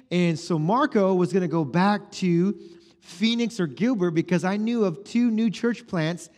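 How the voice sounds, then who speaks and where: Pastor in his forties, echoing hall. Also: high voice. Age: 40s. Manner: conversational storytelling, acts out dialogue. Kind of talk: sermon. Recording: long hall reverb.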